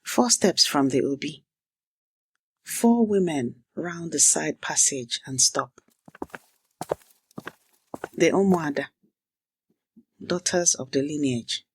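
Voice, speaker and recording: A woman hosting a language lesson, telling a story in short, measured fragments and then repeating phrases for learners to copy. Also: low-pitched, animated, a little hiss or hum. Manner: clipped, measured fragments with deliberate pauses. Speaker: language teacher and host. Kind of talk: scripted story narration and language drill.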